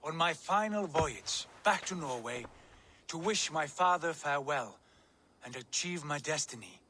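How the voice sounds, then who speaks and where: Embittered Viking lord, fairly high voice, solemn, declamatory, brooding, video game drama, loud water ambience. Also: male voice, expressive, a little slow, slight background noise. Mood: solemn, insistent. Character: embittered, proud Viking lord, grown man, fervent and brooding.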